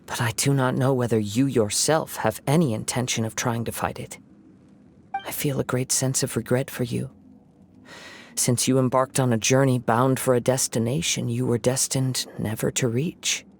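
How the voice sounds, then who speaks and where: An actor voicing a calm, measured young male wandering samurai who speaks in a reflective way. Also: mid-range voice, gravelly.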